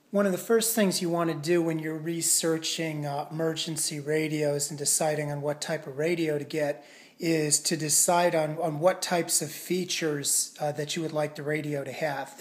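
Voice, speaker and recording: A man giving a casual product demonstration in a measured, explanatory and somewhat hesitant way. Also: on the high side. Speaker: product reviewer.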